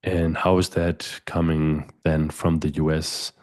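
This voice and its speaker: A man's voice: very deep voice, little pitch movement, slow pace, darkish tone, no echo, clean studio sound. A middle-aged man hosting a podcast, asking long, winding interview questions with frequent restarts. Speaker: podcast host.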